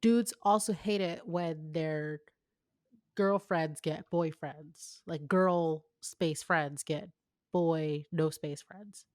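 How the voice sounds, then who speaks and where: Female co-host, slightly low voice, close dry sound, informal reader with wry asides. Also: steady tone, takes it slowly, darkish tone, no echo. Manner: wry, colloquial commentary. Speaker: co-host of a fan fiction reading show. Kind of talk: casual chat, reading fan fiction aloud.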